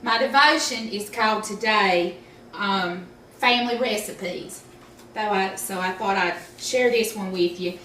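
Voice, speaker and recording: A woman talks loosely and chattily, filling her pauses with little hesitations. Her voice is bright and crisp. It sounds roomy and a bit distant, with a faint hiss underneath. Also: mid-range voice. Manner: chatty, rambling with fillers. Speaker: devotion speaker. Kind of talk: casual devotional talk.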